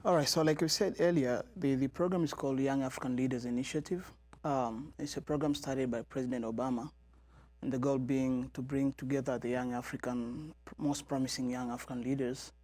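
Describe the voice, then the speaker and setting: A man's voice: medium pitch, fairly even intonation, fairly quick, a little mellow, dry recording. A man from Tanzania, a guest in a studio interview, speaking earnestly and often repeating words as he searches for them. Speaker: guest, member of parliament.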